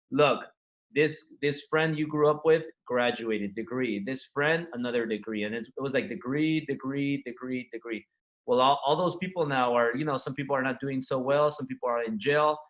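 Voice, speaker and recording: Brief: male guest, native Spanish speaker, raised in LA, earnest storyteller, mid pitch. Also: a bit bright, poor audio. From Los Angeles, USA. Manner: earnest, frank, loose run-on telling with restarts. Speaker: entrepreneur guest. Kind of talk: interview storytelling.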